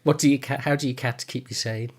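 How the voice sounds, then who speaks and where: A man's voice: mid-range voice, fairly even intonation, quick pace, slight background noise. A British man hosting a casual interview, talking in a rambling, self-deprecating way. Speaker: podcast host.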